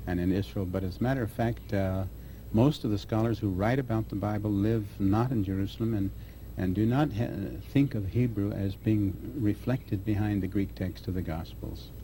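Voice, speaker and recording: Man, low pitch, very dark mellow tone, halting scholarly explanation, faint background hiss. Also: rough recording. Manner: halting, restarts mid-phrase. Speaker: guest scholar. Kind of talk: unscripted interview answers.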